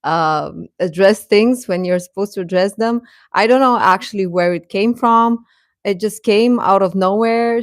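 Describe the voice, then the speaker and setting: Unhurried woman chatting on a dry-sounding livestream. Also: mid-range voice, no echo. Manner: chatty, informal, addresses viewers as guys. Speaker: livestream host. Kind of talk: casual livestream chat to viewers.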